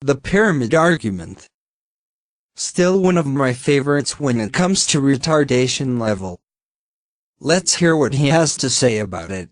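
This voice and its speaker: A man's voice: mid-range voice, animated, a little slow, no echo, studio quality. A man, a native Finnish speaker, giving blunt, sarcastic reaction commentary on a video and swearing now and then.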